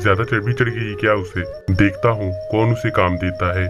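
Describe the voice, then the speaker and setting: Casting: woman as a very low-voiced, bossy male bird boss for a cartoon; scornful, harsh, music underneath. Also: steady tone, normal speed. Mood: angry, scornful. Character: gruff, scolding male bird hotel owner. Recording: music behind.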